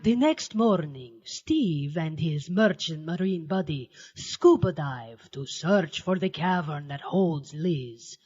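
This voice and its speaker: A man's voice: on the high side, lively intonation, slow, hardly any pauses, a little mellow, husky, dry recording, lo-fi sound, faint music behind, full character voice. Man playing a theatrical, campy horror host. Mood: mock-dramatic. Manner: theatrical, sardonic. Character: pompous campy mad-scientist doctor hosting horror movies. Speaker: horror show host and narrator. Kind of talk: campy mock-horror narration.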